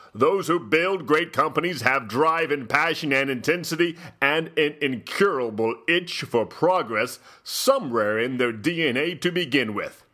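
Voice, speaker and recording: Man putting on a slow, pompous theatrical gentleman host. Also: medium pitch. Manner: pompous, grandly deliberate, with drawn-out phrases. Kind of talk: mock-highbrow dramatic reading.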